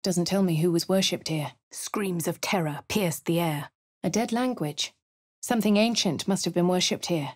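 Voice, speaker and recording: A woman's voice: lowish voice, normal speed, no echo, studio quality, close to an everyday voice. A woman voicing a posh adventurer heroine. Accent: posh English. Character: posh female adventurer, wry and composed. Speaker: voice of the player character. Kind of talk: game voice lines and narration.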